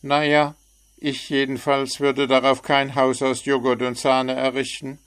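A man's voice: medium pitch, monotone, unhurried, fairly bright tone, clear, resonant tone, voice pushed up, lightly acted. A man voicing a cheeky little bird who teases with sarcastic remarks, in a storybook reading. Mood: teasing. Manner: teasing, sarcastic.

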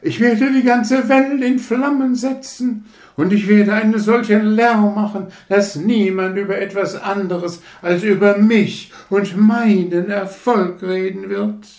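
A vain, shrill firework rocket. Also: male voice, lively intonation, slow, darkish tone. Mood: triumphant. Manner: haughty, affected, boastful. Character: vain, pompous firework rocket, grandly boastful. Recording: roomy echo.

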